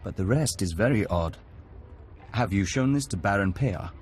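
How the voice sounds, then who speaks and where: An actor playing a courteous, measured hive member who speaks as a collective in a video game. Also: male voice, lowish voice, lively intonation, slight background noise, lightly acted. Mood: serene, polite. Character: calm courteous insectoid hive-joiner spokesman, man. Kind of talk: scripted video game acting.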